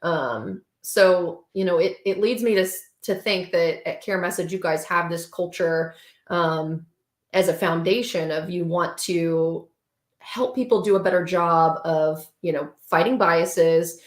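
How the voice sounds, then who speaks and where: Woman, podcast host, lowish voice, echoey room, long winding questions. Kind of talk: interview questions.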